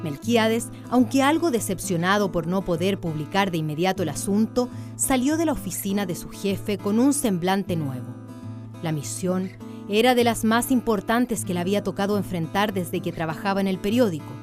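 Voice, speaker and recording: Woman narrating, soft music behind. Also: mid-range voice, lively intonation, normal speed. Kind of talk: scripted radio drama narration, storytelling.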